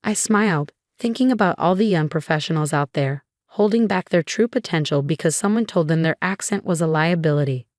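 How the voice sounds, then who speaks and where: Low-voiced woman reading a story. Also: a little fast, hardly any pauses, darkish tone, no room sound, studio quality. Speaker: narrator. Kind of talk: first-person story narration.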